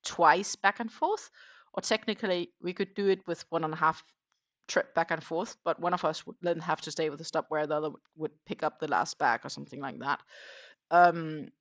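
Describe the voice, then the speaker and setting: Middle-aged woman, livestream crochet host, casual rambling chat, lots of ums, lowish voice. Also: fairly quick, highs cut off. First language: not German. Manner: chatty, rambling, frequent um fillers.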